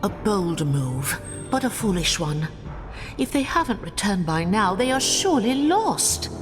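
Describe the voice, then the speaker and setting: A composed elven warrior for a video game, mid-pitched and formal. She sounds proud and grave, her words trailing into a long reverb over clearly heard music. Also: very animated, measured pace. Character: proud, solemn female elf warrior hunter. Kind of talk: dramatic video game voice acting.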